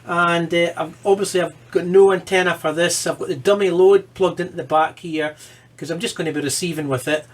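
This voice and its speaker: A man with a somewhat high voice gives a casual demonstration at his workbench. He talks informally, thinking aloud as he works through a repair. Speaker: presenter of a hobby electronics repair video. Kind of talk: casual hands-on demonstration commentary.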